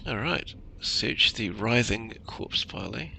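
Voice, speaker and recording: Low, creaky-voiced man casually commentating. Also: animated, a little slow, background noise. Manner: laid-back, ends remarks with "eh". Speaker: gamer commentating on own play.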